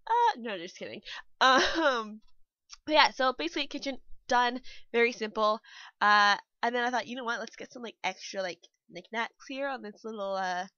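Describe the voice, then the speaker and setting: A woman's voice: on the high side, normal speed, sharp tone, no room sound. A young woman, a bit nasal and still a little sick, rambling casually through a voice-over walkthrough with lots of fillers. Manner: rambling, chatty, full of fillers. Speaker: game builder vlogger. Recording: dull dry lo-fi mic.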